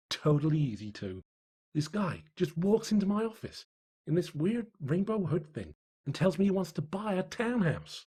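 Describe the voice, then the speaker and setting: A man's voice: high-pitched, a little mellow, breathy edge, heavily acted. A man voicing a smug, boastful realtor breezily telling his diary about a sale in a story reading. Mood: gloating.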